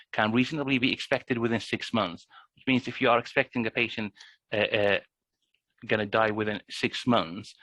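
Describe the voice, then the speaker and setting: A man's voice: lowish voice, a bit bright, no room sound, low-quality recording. Hesitant male GP explaining a form. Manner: hesitant, frequent restarts and fillers. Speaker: GP presenter. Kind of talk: explanatory presentation. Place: online workshop.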